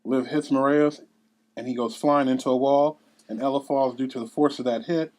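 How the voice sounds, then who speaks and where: A man's voice: mid-range voice, steady tone, brisk, darkish tone. A man narrating a script at a table read, reading out scene headings and action. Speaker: narrator reading stage directions.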